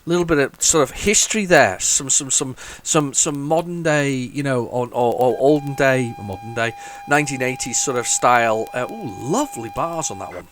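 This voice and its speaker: A man's voice: mid-range voice, moderate pace, few pauses, slight background noise. Chatty man with a sniffly cold. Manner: rambling, chatty, lots of restarts and sudden excited outbursts. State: has a head cold, sniffly. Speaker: retro gaming video host. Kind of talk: casual commentary while loading old tapes.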